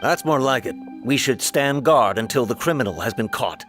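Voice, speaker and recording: A man's voice: mid-range voice, lively intonation, moderate pace, fairly bright tone, soft background music, lightly acted. An actor playing a dutiful, reproachful male city guard in a video game. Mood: stern.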